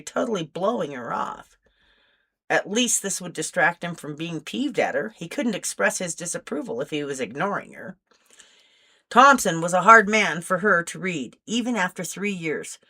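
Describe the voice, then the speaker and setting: A woman's voice: lowish voice, lively intonation, normal speed, pushed and bright. A woman reading her own fiction aloud as scripted audiobook narration. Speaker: author reading own novel.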